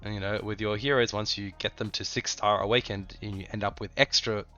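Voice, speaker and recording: Sharp-toned man with a cold. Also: fairly low pitch, steady tone, a little fast, highs cut off, soft background music. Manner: loose and conversational, frequent ums and restarts. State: stuffy from a cold. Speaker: mobile game channel host. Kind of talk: casual gaming update chat.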